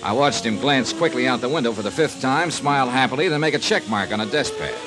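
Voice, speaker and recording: A wry male private investigator played by an actor in an old radio drama, crisp and punchy, with music in the recording. His medium-pitched voice swings freely up and down as he talks like a 1950s hard-boiled hero. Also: expressive, quick pace, close to an everyday voice. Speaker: radio drama lead and narrator. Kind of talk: 1950s radio detective acting, first-person narration.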